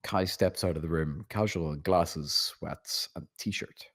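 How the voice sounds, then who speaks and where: A man's voice: deep voice, unhurried, a bit bright. A man narrating a script's stage directions at a table read.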